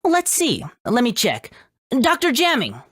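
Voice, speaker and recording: A woman voicing an excitable, friendly little scientist creature in a video game, with a showy, emphatic delivery. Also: on the high side, a little slow, husky, dry recording, studio quality, full character voice. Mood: reassuring. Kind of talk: English video game voice acting.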